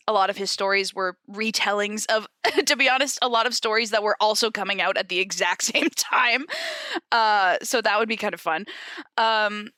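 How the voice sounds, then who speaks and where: A woman's voice: mid-range voice, sharp tone, no echo, clean studio sound. A woman co-hosting a podcast, casually explaining from notes in a giggly, conversational way with loose asides. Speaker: podcast co-host. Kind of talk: casual informal explainer chat, reading from notes.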